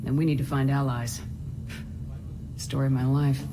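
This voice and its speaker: A woman's voice: very deep voice, flat delivery, normal speed. An actress playing a principled female soldier commander in a video game, speaking in a firm, dry, direct way. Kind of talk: video game cutscene acting. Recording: long reverb, noisy battle-base ambience.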